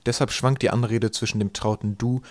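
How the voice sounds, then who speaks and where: A man reading a comic story as an audiobook narrator, in a dry, deliberate storytelling manner. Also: medium pitch, steady tone, dry recording. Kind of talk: scripted literary narration, storytelling.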